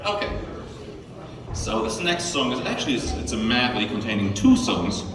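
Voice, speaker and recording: A man's voice: medium pitch, very animated, normal speed, distant mic, noisy background. A man with a German accent, a band's drummer, casually telling a rambling story to a concert crowd. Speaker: band drummer. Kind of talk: onstage storytelling between songs. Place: concert venue. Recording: echoey live hall, mic from afar.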